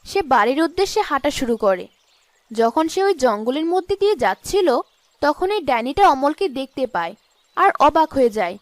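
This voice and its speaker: A woman's voice: high voice, big pitch swings, a little fast, no room sound. A woman narrating a scripted cartoon story as its storyteller.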